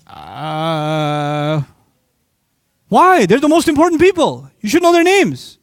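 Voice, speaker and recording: A high-pitched man delivers a lively religious lecture, firing rhetorical questions at his listeners and answering them himself. His voice sits close and dry, with no room around it. He talks with quick asides and sudden jumps in pitch when he makes a point. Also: vocal fry, dry recording. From Pakistan. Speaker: Islamic speaker. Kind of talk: lecture to a live audience.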